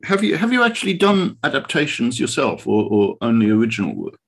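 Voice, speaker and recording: A man's voice: medium pitch, huge pitch swings, brisk, gravelly, slightly rough. A man co-hosting a podcast, putting in casual remarks and questions. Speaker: podcast co-host.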